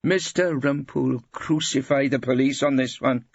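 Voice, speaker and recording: A man's voice: mid-range voice, moderate pace, creaky, slightly rough, no room sound, dull audio, voice pushed up. A man voicing an earnest, zealous Welsh solicitor in an audiobook, preachy and optimistic. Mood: zealous, proud. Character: earnest, eager, indignant Welsh male solicitor.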